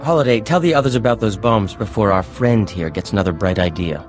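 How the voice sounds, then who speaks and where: Man as a wry, low-voiced sceptic. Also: a little fast, music in the background, close to an everyday voice. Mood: wry exasperation. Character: wry, rational, sceptical male companion. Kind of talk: scripted video game acting.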